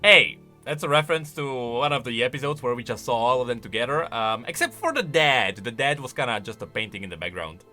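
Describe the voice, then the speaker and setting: A man giving casual, animated reaction commentary on game voice lines, with emphatic bursts and quieter asides. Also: mid-range voice, a little fast, few pauses, soft background music.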